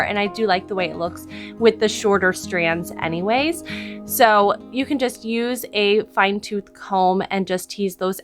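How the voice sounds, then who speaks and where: A woman in her thirties, a little hoarse from losing her voice, explaining a craft project step by step in a friendly voice-over. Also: medium pitch, fairly bright tone. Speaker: crafting channel host. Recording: voice-over with background music.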